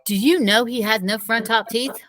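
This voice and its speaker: A woman's voice: a little high, normal speed, a bit bright, soft background music. A woman teacher reading the dialogue of a children's novel aloud, a little away from the microphone. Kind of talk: read-aloud storybook dialogue.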